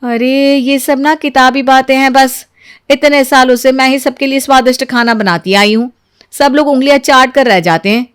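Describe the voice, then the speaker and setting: A woman's voice: high-pitched, fairly even intonation, normal speed, smooth, natural voice. A woman storyteller voicing a jealous, argumentative young housewife who complains and mocks her in-law. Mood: scolding, boastful. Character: jealous, sarcastic elder daughter-in-law, young adult housewife.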